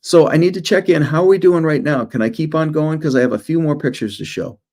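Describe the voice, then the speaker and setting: A man's voice: fairly high pitch, fast talker, darkish tone. A middle-aged man, an author, presenting informally to schoolchildren in short storytelling phrases with conversational asides.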